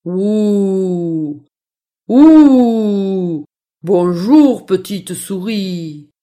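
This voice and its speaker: Woman putting on a gentle howling storybook wolf. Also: mid-range voice, sing-song, voice pushed down. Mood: friendly greeting. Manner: howling, drawn-out. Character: friendly, gentle male wolf with a drawn-out howl.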